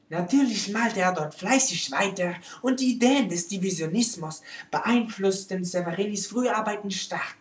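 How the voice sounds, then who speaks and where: A pompous, self-important French artist played by a woman presents a comic lecture in a French accent. The delivery is slow and theatrical, swooping up and down, with slightly mangled grammar. The room echoes around the voice. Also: on the low side. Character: vain, theatrical French male artist and poet, Luc Pierre. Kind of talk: comic in-character art history lecture. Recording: roomy, echoing amateur recording.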